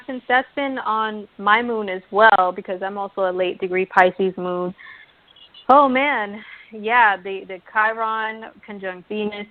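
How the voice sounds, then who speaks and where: A woman's voice: medium pitch, no echo. A young woman, a mother, co-hosting an astrology show, chatting in a self-deprecating way with lots of "like". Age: young adult. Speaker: astrology talk show co-host. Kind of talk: casual chat. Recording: dry tinny phone line.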